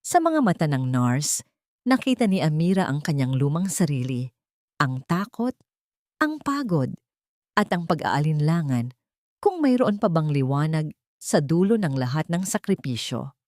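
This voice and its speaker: A woman narrating a scripted dramatic story in a sentimental, deliberate way. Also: low-pitched, big pitch swings, darkish tone, dry recording, studio quality.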